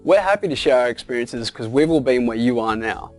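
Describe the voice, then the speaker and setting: A man's voice: mid-range voice, expressive, brisk, faint music behind. A man, speaking a scripted introduction to camera as a youth cancer advocate. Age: 20s. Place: studio with painted backdrop. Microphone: lapel.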